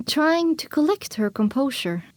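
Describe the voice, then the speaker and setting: A woman's voice: high-pitched, very animated, a little slow, a little mellow, no echo. A woman narrating an audio drama in the first person, with a dramatic scripted delivery.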